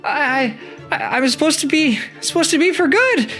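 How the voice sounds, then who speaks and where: A squeaky frantic fruit girl. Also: expressive, normal speed, fairly bright tone, faint music behind. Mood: confused. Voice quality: very high squeaky pitch. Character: anxious little female talking fruit, needy and squeaky.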